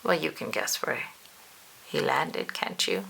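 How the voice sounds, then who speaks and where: Female storybook reader: bright, slightly gravelly edge, animated delivery with drawn-out emphasis, speaks straight to the child. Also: extremely low pitch, poor audio. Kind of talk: expressive children's read-aloud narration.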